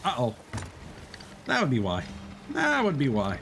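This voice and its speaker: A man's voice: mid-range voice, wildly swooping pitch, measured pace, gravelly, a bit bright, a little hiss or hum. Chatty man streaming a game. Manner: chatty, self-correcting, thinking aloud. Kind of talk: casual gameplay commentary.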